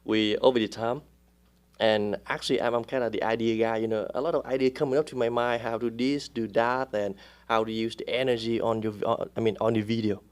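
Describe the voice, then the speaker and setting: A man, a real estate agent speaking as a guest in a casual interview, who talks haltingly and often pauses to search for words. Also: mid-range voice, steady tone.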